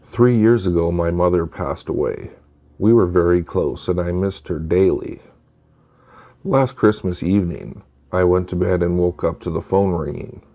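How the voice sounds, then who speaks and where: A man narrating spooky true stories in a scripted, measured and deliberate storytelling style. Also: deep voice, warm tone.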